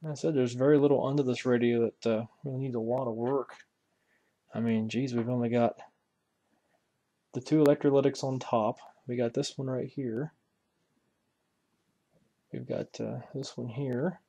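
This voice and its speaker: A man's voice: mid-range voice, fairly even intonation, moderate pace, pauses often, warm tone. Man, mid-range voice, folksy, casual hobbyist demonstrator, unscripted, thinking aloud while working. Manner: folksy, musing aloud while handling parts. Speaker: hobbyist radio restorer presenting a repair video. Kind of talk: casual unscripted hands-on walkthrough.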